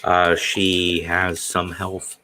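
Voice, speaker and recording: A man in his forties chats slowly on his live stream, his deep voice carrying a bright, forceful edge. He rambles and hesitates, filling the gaps with plenty of "uh"s. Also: completely flat delivery, slight background noise. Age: 40s. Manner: rambling, hesitant with many fillers. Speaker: stream host. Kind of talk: casual live-stream chat.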